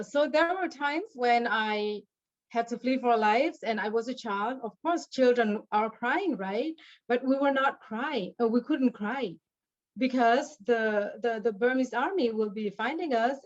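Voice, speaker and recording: A woman from tells her own story as a panel guest, speaking English learned as an adult. Her delivery is earnest and halting, full of restarts. The video call audio sounds dull and lo-fi. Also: fairly high pitch. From Burma. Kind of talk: personal story at an online panel.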